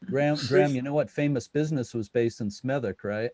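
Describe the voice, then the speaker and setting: A man's voice: mid-range voice, brisk, darkish tone, no echo. A man casually moderating a question session on a video call as the host. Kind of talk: casual moderating of an online meeting.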